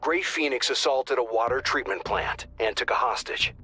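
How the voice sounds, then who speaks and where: Bright, brisk actor as a male mission handler. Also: mid-range voice, faint music behind, lightly acted. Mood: brisk, businesslike. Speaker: tactical mission controller. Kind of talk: scripted game mission briefing.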